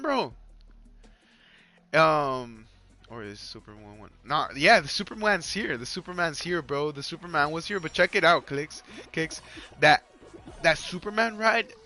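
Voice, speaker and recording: Bright-voiced Mexican guy rambling on stream. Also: a little high. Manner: rambling, repeats himself, lots of "like" and "you guys". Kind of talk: casual live-stream chat while gaming. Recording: faint music underneath.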